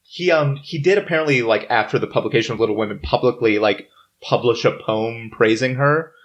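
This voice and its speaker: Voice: mid-range voice, a little fast, muffled recording. A podcaster chatting casually, joking and breaking off into side remarks.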